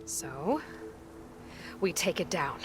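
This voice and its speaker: A woman's voice: low-pitched, big pitch swings, takes it slowly, full character voice. An actress playing a blunt, determined young woman warrior with a direct, confident delivery in a video game. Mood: determined, dry. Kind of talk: video game cutscene acting. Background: game music.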